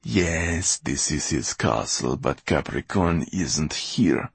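A man's voice: rumbling low, expressive, slow pace, vocal fry, husky, no echo, full character voice. A man voicing a vengeful old villainess in an audiobook, a grieving mother who spits out her words imperiously. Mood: bitter grief. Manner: spitting, venomous, imperious exclamations. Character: vengeful old woman, hobbling, grief-maddened villain mother.

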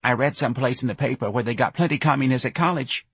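A man putting on a high, plaintive, nagging old mother. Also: fast, a bit bright, husky, dry recording. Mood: sly, baffled. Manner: folksy, ungrammatical, whining speech. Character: plaintive, nagging, suspicious working-class older mother.

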